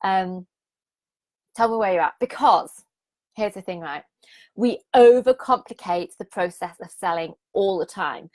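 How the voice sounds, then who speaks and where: A woman in her thirties, a bit croaky, hosting a live-streamed business coaching challenge, enthusiastic and repetitive, asking viewers to comment and laughing often. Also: mid-range voice, a little slow, darkish tone.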